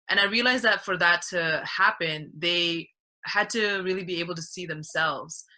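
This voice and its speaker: Interviewee, woman from Nepal, bright, lower-pitched, earnest, video call audio. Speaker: arts program founder.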